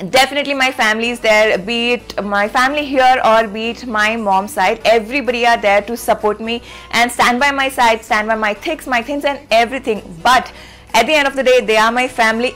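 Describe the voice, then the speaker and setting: A young woman with an Indian English accent, vlogging to camera in a chatty way that repeats itself, filmed in a small room at desk distance. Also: on the high side. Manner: chatty, repeats herself, lively hand gestures. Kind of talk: casual direct-to-camera vlog.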